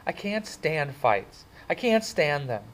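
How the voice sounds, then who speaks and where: A man's voice: mid-range voice, normal speed, lightly acted, low-quality recording. A man voicing an earnest, frightened teenage girl who pleads and scolds. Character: upset teenage girl, tender and fearful.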